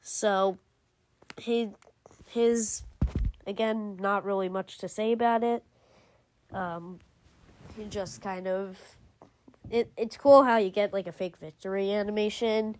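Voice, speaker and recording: A woman's voice: mid-range voice, steady tone, slow, long pauses, darkish tone. A woman giving casual voice-over commentary as a video creator, rambling with lots of "like" and frequent self-corrections. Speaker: video creator ranking game cutscenes.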